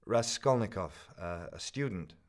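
A man's voice: sits low, lively intonation. A man doing a stammering, desperate young student. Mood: nervous, pleading. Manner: stammering, hurried. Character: poor, nervous young male student, desperate.

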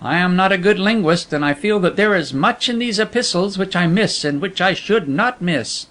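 A man voicing a secretive, gruff middle-aged male editor in a storybook reading, speaking in a measured, confiding way. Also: high-pitched, expressive, fairly bright tone, voice pushed up.

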